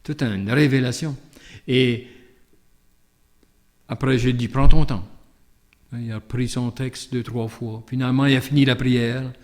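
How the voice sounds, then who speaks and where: A man's voice: mid-range voice, steady tone, measured pace, long pauses, a little mellow. A man with a Canadian French accent, a priest speaking in a reflective, conversational storytelling way with hesitant asides as he gives a homily. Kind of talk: gospel reading and conversational sermon with anecdote.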